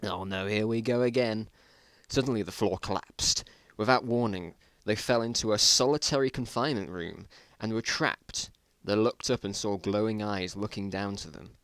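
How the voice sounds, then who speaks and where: A man's voice: fairly low pitch, normal speed, few pauses, fairly bright tone, no echo. A man narrating a story aloud as an amateur dramatic reading, with wry, self-mocking asides.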